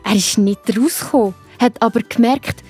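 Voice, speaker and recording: Breathy woman telling Bible stories. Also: on the high side, normal speed, soft background music. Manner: gentle, addressing children directly. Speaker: narrator. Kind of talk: scripted children's Bible storytelling.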